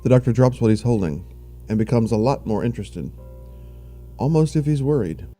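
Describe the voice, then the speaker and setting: A man's voice: medium pitch, expressive, brisk, mellow, faint music behind. A man reading scripted narration for an audio drama in the role of narrator.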